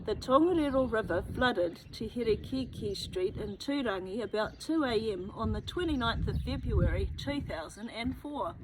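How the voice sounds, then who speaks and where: A woman's voice: fairly high pitch, lively intonation, normal speed, few pauses. A woman with a New Zealand accent reading a children's book aloud, adding chatty asides and thinking aloud to young listeners. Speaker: children's story reader. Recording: noticeable background noise.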